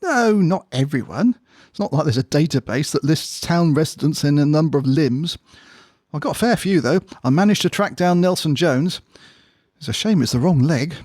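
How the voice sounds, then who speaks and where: An earnest, gossipy bloke played by a man in a comic audio drama. His pitch moves around a lot under deadpan, incredulous banter, in a close, dry recording. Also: normal speed, dry recording. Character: matter-of-fact, gossipy male pub friend, comically earnest. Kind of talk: audio drama comedy dialogue.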